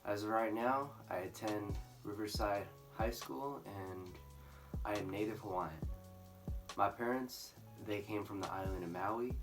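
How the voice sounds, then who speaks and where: A sixteen-year-old boy from California speaking earnestly to camera as a student, in a measured, candid way. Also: on the low side. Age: teens. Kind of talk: speaking to camera for a school video. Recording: distant mic, faint music behind.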